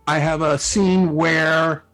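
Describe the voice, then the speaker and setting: Higher-pitched man, casual guest author. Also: little pitch movement, slow pace, a bit bright. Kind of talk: casual interview talk. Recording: music playing behind the voice. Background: background music.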